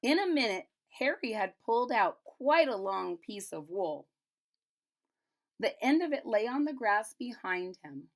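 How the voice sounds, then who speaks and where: A woman reading a children's storybook aloud, pausing to retell and explain it simply for young listeners. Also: a little high, sing-song. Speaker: storybook reader. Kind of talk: children's picture-book read-aloud with retelling in plain words.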